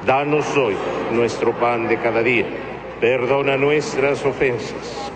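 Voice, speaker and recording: A male priest recites the liturgy in a steady, solemn voice at a middling pitch. His words ring through the long reverb of a large church, and a clear layer of noise sits under the recording. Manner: measured, solemn recitation, partly chanted. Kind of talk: liturgical prayer at Mass.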